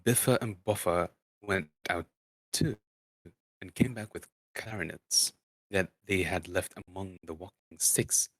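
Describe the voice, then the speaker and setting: A man narrating a book dramatically as an amateur, halting and stumbling over words now and then. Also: sits low, husky, dry recording, rough recording. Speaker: streamer reading aloud.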